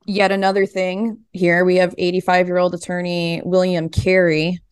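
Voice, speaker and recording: A woman's voice: on the low side, little pitch movement, normal speed, smooth, no echo, clean studio sound. An American woman interviewing guests casually as a podcast host.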